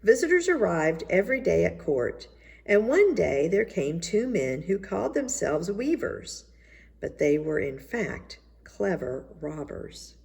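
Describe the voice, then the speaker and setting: Animated, mellow woman reading a storybook. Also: lowish voice, measured pace, vocal fry. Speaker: storybook reader. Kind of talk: expressive children's story read-aloud.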